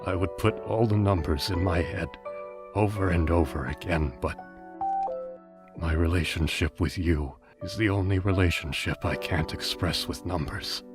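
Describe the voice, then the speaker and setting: A man voicing an elderly, reserved businessman father in a dramatic dub, speaking in a measured, tender and solemn way. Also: low-pitched, lightly acted. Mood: tender regret. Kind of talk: dramatic fan dub over music. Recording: loud music under the voice.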